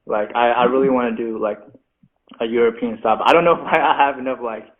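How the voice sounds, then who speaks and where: A man's voice: medium pitch, fast talker. A man who is a rapper as a guest, chatting casually and filling his talk with "like," with little laughs. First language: Mandarin. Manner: chatty, says "like" constantly, self-deprecating laughs. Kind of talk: casual interview chat. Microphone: video call. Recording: phone-like video call audio.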